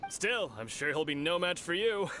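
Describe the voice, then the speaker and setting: A man's voice: a little high, animated, normal speed, pushed and bright, a little hiss or hum. A man playing a big, genial tournament fighter in a video game, talking in a chatty, florid and formal manner. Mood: impressed. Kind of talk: video game character dialogue.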